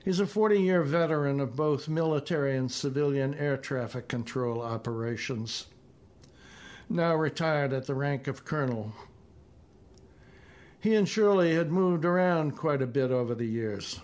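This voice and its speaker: A man reading his own literary short story as narrator, in a measured, deliberate manner. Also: mid-range voice, a little mellow.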